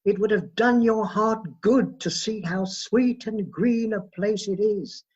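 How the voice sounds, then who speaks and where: A man playing a humble, grief-stricken father in a radio play, tender and halting. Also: sits high, a little mellow, slightly rough, full character voice. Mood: tender, apologetic. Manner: halting, tender, repeating words. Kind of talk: radio drama acting. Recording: dull, muffled recording.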